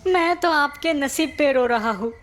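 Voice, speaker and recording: A woman voicing a clever young village man in a cartoon, a calm trickster who talks politely and persuasively. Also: high voice, measured pace. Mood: flattering. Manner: polite, persuasive, mock-respectful. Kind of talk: Hindi cartoon story acting.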